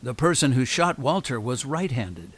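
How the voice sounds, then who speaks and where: An actor playing a self-assured, probing lawyer who confronts suspects in a radio drama. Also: male voice, medium pitch, big pitch swings. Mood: accusing, firm. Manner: deliberate, pressing, self-assured.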